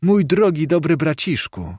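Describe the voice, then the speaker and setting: A male reader puts on a loving little sister from a storybook. The pitch is lifted well above narration, with gushing, eager delivery and a dry, close sound. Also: big pitch swings, a little slow, a little mellow, breathy edge, dry recording, full character voice. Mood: joyful, loving. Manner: gushing, repeating herself eagerly. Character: affectionate, overjoyed little girl, a younger sister.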